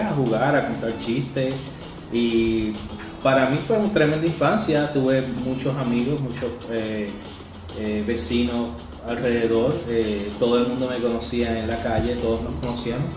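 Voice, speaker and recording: A Puerto Rican man in his forties, an actor answering interview questions, reminiscing with many hesitant pauses and fillers. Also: mid-range voice. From Puerto Rico. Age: 40s. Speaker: actor guest. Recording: roomy echo with music behind.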